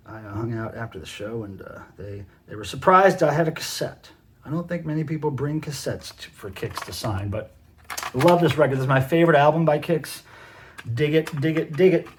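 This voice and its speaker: A middle-aged man with an American accent talking casually and enthusiastically in a rambling show-and-tell as a collector hosting his own video. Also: medium pitch. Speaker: cassette collector and video host. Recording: noisy home recording with clicks.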